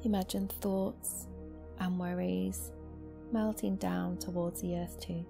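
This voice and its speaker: A woman guiding a meditation as a yoga nidra teacher, speaking slowly and gently in a soft, soothing voice with long pauses. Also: lowish voice, little pitch movement, gravelly. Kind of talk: guided meditation. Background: new-age music.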